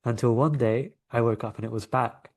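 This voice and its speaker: A man with a London accent speaks at an ordinary middle pitch. He talks conversationally, as a guest sharing personal stories in an interview. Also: fairly even intonation, fairly quick, darkish tone. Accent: London English. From London, England. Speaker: interview guest, coach and energy healer.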